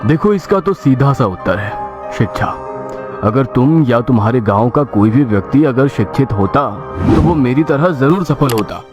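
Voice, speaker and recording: Smooth-talking cartoon merchant played by a man, music behind. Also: medium pitch, expressive, normal speed, lo-fi sound, lightly acted. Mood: smug, persuasive. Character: smug, cunning male village merchant selling false promises. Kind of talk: Hindi cartoon moral story dialogue. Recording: loud music under the voice.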